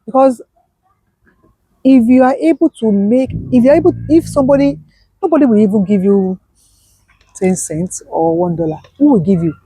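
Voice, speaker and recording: A woman talks as a guest in an interview, her voice husky and soft-edged with a mellow, dark tone. She hammers her points home by repeating them. The sound is close and dry. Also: on the high side, moderate pace, pauses often, no echo, rough recording. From Nigeria. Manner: emphatic, repeats key points. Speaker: guest, business owner. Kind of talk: conversational interview.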